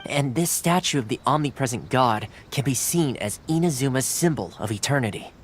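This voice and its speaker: A man voicing a polite, composed retainer who explains things in a measured way. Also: medium pitch, expressive, slight background noise, natural voice. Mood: calm. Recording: game audio with clear music behind.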